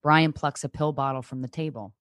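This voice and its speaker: A woman's voice: deep voice, fairly even intonation, fairly quick, a bit bright, no echo, studio quality. A woman comedian guesting, chatting casually and reading screenplay stage directions aloud.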